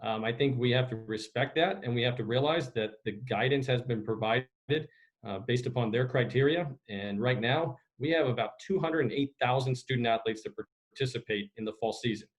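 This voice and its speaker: A man speaking as an executive director answering media questions on a conference call, in a measured, formal, official way. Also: mid-range voice, low-quality recording. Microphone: video conference call.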